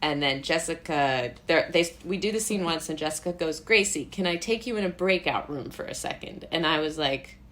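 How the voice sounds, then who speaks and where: Low-voiced American woman, chatty and rambling. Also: moderate pace, few pauses. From Brooklyn, New York, USA. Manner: rambling, animated asides with frequent "like" and self-interrupting laughs. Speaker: playwright, director and actor, interview guest. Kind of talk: casual conversational interview.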